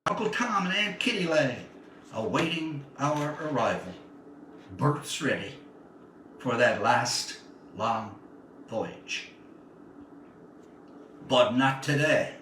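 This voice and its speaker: A man reading his own literary short story aloud in a dramatic, deliberate storytelling manner with measured phrasing. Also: on the high side, a bit bright. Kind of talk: literary story reading, first-person narration. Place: indoor room, live stream. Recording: echoey room, distant mic, faint noise.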